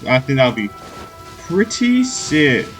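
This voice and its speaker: A man's voice: medium pitch, very animated, slow, soft background music. Joking young man commenting on gameplay. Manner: laid-back, joking asides, swears. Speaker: streamer.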